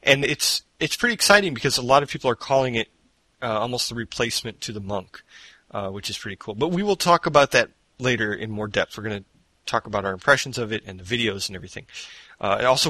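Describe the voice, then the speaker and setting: A man's voice: medium pitch, sharp tone, dry recording. A man hosting a casual gaming podcast from home, rambling with fillers and restarts into a headset mic. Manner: rambling, self-deprecating, many fillers and restarts. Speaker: podcast host. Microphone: borrowed headset mic off to the side.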